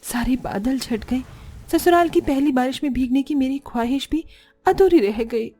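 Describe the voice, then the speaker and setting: A woman's voice: high voice, moderate pace, dark tone. A woman voicing a young, put-upon daughter-in-law in a cartoon family drama, meek with elders and grumbling when alone. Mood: sad.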